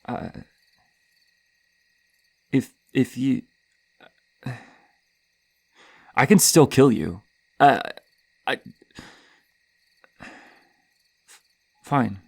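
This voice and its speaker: Man voicing insecure masked killer, horror ASMR roleplay, slow stammering delivery, close dry sound. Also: mid-range voice, expressive, no room sound, pitched above the performer's own voice, exaggerated voice. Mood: hesitant, self-loathing. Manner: stammering, halting, breathy sighs and nervous laughs. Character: flustered, scarred, masked male slasher killer, lonely and insecure. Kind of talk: ASMR horror roleplay, intimate monologue to listener.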